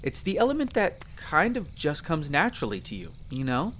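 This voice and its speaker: A man's voice: fairly high pitch, big pitch swings, moderate pace, fairly bright tone, a little hiss or hum. A man playing a friendly, chatty elf explorer in a roleplay audio drama, explaining things in an easygoing, conversational way to the listener. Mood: patient, explanatory. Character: friendly chatty male elf explorer, eager magic mentor.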